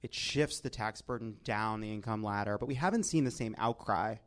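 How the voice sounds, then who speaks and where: A man's voice: on the low side, a little fast. An American man hosting a comedy politics panel with wry, exasperated banter and casual swearing. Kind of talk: comic banter and topical commentary.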